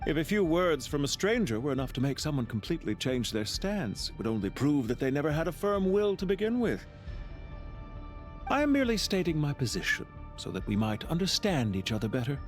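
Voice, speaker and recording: A man playing a calm, courteous, scheming villain officer in a video game, speaking in a polite, formal, measured and theatrical way. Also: on the high side, soft background music. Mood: calm, challenging. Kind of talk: scripted video game voice acting.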